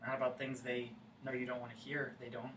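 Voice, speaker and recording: A man's voice: mid-range voice, monotone, quick pace, a bit bright, natural voice. Man acting awkward, eager small-town guy, echoey room. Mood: wounded. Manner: rambling, nervously repeating himself. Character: lonely, eager, awkward small-town repairman, jilted ex-boyfriend. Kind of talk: staged play reading, naturalistic dialogue. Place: indoor room at a staged reading. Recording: echoey room, distant mic, faint noise.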